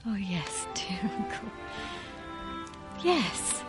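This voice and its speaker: Casting: gentle young wife, forgiving, very unhurried, expressive pitch, radio drama. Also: female voice, over music. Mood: moved, forgiving. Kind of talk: family radio drama acting.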